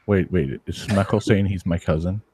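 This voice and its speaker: A man's voice: deep voice, steady tone, moderate pace, warm tone, a little hiss or hum. A man in a crude casual chat, blunt and dry in how he talks.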